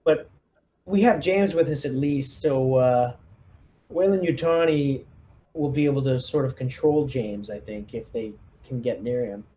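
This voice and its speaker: A man with a mellow, rounded voice talks through tactics as a player in a tabletop game. He thinks aloud in a casual, collaborative way, pausing to search for words. There is some background noise in the room. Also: mid-range voice. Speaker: player in a tabletop roleplaying game, leading the squad. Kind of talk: casual in-character tactical planning.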